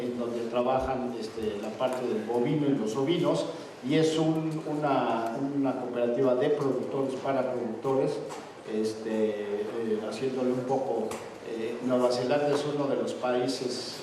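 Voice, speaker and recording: A man's voice: medium pitch, steady tone, measured pace, noisy background. A man introducing a conference speaker, full of fillers and repeated words. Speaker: event host introducing a speaker. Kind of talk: spoken introduction. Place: large conference hall. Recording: echoey hall from far off.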